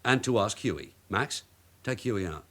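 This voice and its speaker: An actor playing a shrewd veteran detective sergeant in a British radio drama, a husky voice and terse, probing delivery. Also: male voice, fairly low pitch, expressive, measured pace, a bit bright. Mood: firm, controlled. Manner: terse, probing questions and clipped orders.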